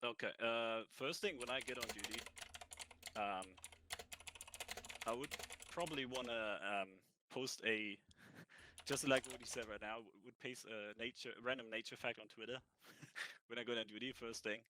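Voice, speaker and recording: A man with a cold answering interview questions in a roleplay, halting and thinking aloud as he goes. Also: medium pitch, pushed and bright, a little hiss or hum. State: has a cold. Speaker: ranger job applicant.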